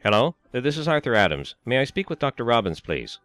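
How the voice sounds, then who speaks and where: An actor playing a concerned elderly husband who gently coaxes and reassures his wife. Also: medium pitch, very animated, fairly quick, dry recording, faint music behind. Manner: coaxing, reassuring.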